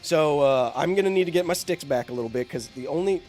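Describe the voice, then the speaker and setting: A man's voice: on the high side, animated, fast talker, a little mellow, faint music behind. A man live-streaming a game, chatting to his viewers in a rambling, jokey way while getting over bronchitis, a little congested. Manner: rambling, jokey, talks to chat.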